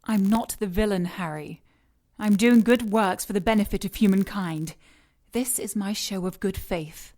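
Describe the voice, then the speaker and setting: Woman playing a poised scientist villainess, medium pitch. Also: moderate pace, dark tone, close to an everyday voice. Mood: coolly persuasive. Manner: composed, formal, condescending. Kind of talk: audio drama acting.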